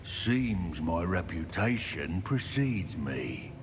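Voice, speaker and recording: A man's voice: deep voice, sing-song, slow pace, background noise, exaggerated voice. A man playing a coldly arrogant, sardonic and threatening goblin rebel leader in a fantasy game. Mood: smug menace. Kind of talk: cinematic fantasy game acting.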